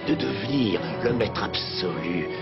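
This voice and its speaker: A man's voice: lowish voice, lively intonation, vocal fry, dull audio, over loud music. A man playing a cold, scheming, power-hungry prime minister in a cartoon, speaking formally and pompously. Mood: gloating. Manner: formal, pompous, slow gloating delivery. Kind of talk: French cartoon dub acting.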